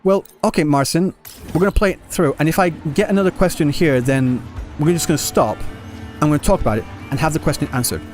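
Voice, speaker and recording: High-pitched man chatting on a game livestream. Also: fast talker, darkish tone. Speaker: game streamer. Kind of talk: casual livestream chat answering viewer comments. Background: game music.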